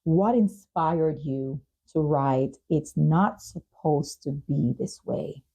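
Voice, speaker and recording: A woman's voice: sits low, slow pace, warm tone, slightly rough, rough recording. A woman hosting a talk show, speaking empathetically in an interview conversation and affirming what the guest says. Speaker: talk show and podcast host.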